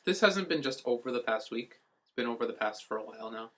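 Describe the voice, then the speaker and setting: A man's voice: mid-range voice, expressive, fast talker, fairly bright tone. A man co-hosting a podcast, explaining things in a casual, rambling way with offhand swearing. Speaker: podcast co-host. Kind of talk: casual chat.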